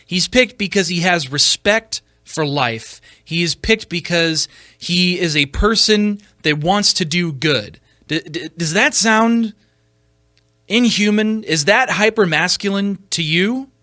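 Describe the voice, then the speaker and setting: A man with a fairly high, sharp-edged voice recorded close and dry. He speaks as a podcast host giving his opinions on films. His delivery is emphatic and combative, with halting repeats and pointed rhetorical questions. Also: animated, no room sound.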